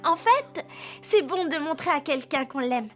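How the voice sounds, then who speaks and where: A woman voicing a sweet, affectionate teenage girl rider in a French cartoon dub, with a gushing, expressive way of talking. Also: sits high, a little slow. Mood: touched. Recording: music behind the voice.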